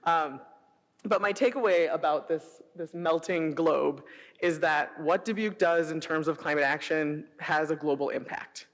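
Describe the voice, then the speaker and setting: A middle-aged woman with an American accent giving an informal presentation, telling personal anecdotes in an animated way and acting out quoted dialogue. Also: sits low, measured pace. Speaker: city sustainability director presenting. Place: presentation hall before an audience. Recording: echoey hall, long reverb.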